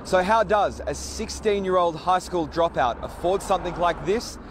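A man's voice: high voice, normal speed. A man with an Australian accent reading a scripted television news report. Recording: TV news clip with street noise.

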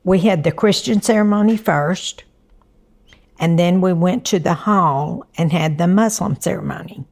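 A woman's voice: lowish voice, a little slow, a little mellow. A woman in her sixties with a Southern US accent, telling stories in a chatty, down-to-earth way in an interview. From Alabama, US. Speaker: interviewee, mother. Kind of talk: interview recollection.

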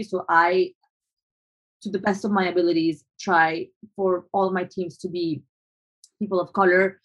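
A woman's voice: on the low side, highs cut off. A young adult woman photographer from Colombia, answering interview questions in a thoughtful, hesitant way with frequent restarts.

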